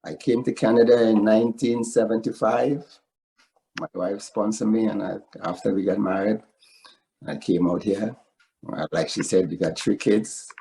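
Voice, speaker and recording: A man's voice: fairly low pitch, steady tone, normal speed, vocal fry, dark tone, slight background noise, poor audio. An older man from Trinidad with a Trinidadian accent, telling his story in an interview in a proud, earnest way. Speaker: interviewee, father of a footballer. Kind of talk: casual interview.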